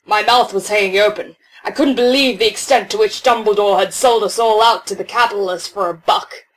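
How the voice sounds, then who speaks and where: A woman's voice: medium pitch, fairly quick, low-quality recording. A woman narrating a scripted first-person story.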